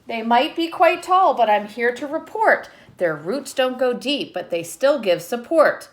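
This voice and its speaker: A woman reading a children's picture book aloud like a teacher, with expressive delivery and short explanatory asides to young listeners. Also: a little high, a little slow.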